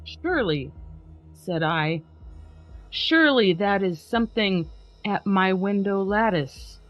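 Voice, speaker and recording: A woman podcast host giving a dramatic poetry reading, trading lines with a co-reader in a measured way. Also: medium pitch, lively intonation. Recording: slightly muffled with faint music.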